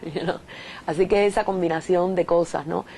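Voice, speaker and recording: Cuban woman professor, lowish voice, reflective and chatty, faint hiss behind. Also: steady tone, normal speed, dark tone. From Cuba. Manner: thoughtful, frequent restarts and rhetorical questions. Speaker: professor, interviewee. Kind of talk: reflective interview answers.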